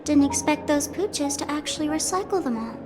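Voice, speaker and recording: A woman's voice: high-pitched, animated, brisk, loud music behind the voice, pitched above the performer's own voice. A woman putting on a theatrical game character voice as she reads dialogue. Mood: mischievous. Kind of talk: reading game dialogue aloud.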